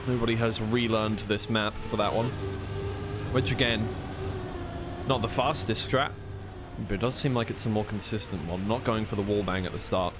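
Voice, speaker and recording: A man's voice: on the low side, steady tone, normal speed, long pauses, background noise. A man doing live racing game commentary as a caster, mixing play-by-play with informal chat and thinking aloud. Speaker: esports caster on a co-stream. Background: racing game car sounds.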